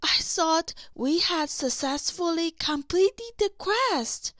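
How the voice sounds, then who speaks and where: Woman acting young fairy-tale queen, concerned, helpful, high voice, unhurried storybook reading. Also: animated, a bit bright, no room sound, studio quality, heavily acted. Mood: puzzled.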